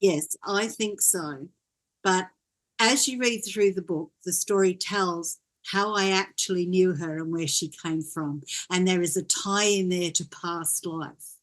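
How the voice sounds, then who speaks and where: An older woman from Wales, a guest in an interview, telling her story in a gentle, reflective way. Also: on the low side, measured pace. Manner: gentle, reflective, laughs often.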